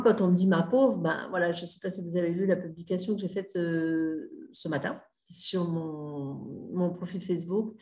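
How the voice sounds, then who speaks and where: A woman's voice: fairly low pitch, dark tone, roomy sound. A middle-aged woman mentor giving a casual spoken talk, hesitant, with many fillers and restarts. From Australia. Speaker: grief mentor and healer.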